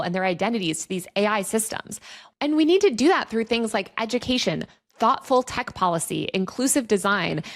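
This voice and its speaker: A woman with a medium-pitched voice presents commentary straight to her viewers. She sounds like a reporter explaining her beat, articulate and earnest. Her phrasing stays lightly conversational throughout. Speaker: tech reporter and video host. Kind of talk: video essay commentary to viewers.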